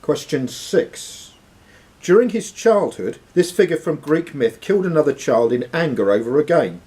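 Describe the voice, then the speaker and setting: A man's voice: on the high side, sing-song, moderate pace, darkish tone, breathy edge. A man hosting a live quiz in a club room, reading the questions aloud and ruling on answers. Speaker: quiz host and question reader. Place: sports and social club room.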